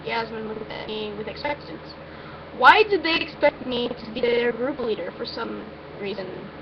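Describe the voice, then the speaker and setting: Woman, high-pitched voice, amateur story reader, informal delivery with occasional stumbles, noticeable background noise. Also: normal speed. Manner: informal, stumbles and breaks off with asides. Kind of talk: first-person story reading, informal. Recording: muffled, noisy amateur recording.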